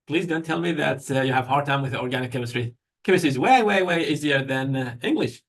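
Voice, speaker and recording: A man's voice: medium pitch, animated, brisk, gravelly, a bit bright. A man with a Persian accent, a native Persian speaker, giving an informal university lecture in a conversational way, putting questions to students and answering them.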